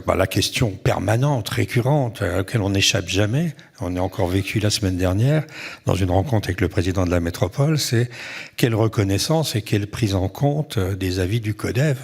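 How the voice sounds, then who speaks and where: A man who heads a civic council, giving measured, halting, reflective remarks on a panel. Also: lowish voice, gravelly, a little mellow, husky. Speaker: president of a citizens' advisory council. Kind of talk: round-table panel remarks.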